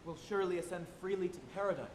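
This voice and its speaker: A man's voice: high-pitched, fairly even intonation, racing, a little mellow, natural voice. A male stage actor playing a kindly, devout sailor and tender friend, speaking earnestly in formal, old-fashioned phrases. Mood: apologetic, consoling. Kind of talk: stage drama acting. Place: theatre stage in an auditorium. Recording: noisy, echoey live auditorium recording.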